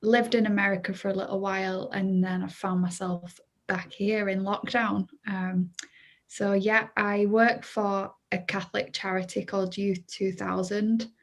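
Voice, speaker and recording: A woman, answering interview questions about her life. Her pitch stays flat and even from phrase to phrase, and her voice sits in the middle of the range. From Leeds, England. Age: 20s. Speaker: guest, charity youth worker.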